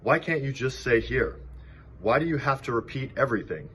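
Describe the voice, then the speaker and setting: A man voicing a bossy, clever female spider in a storybook, brisk and articulate. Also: medium pitch, very animated, a bit bright, husky, voice pushed up, heavily acted. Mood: exasperated. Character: bossy, dry, clever female spider leading barn animals.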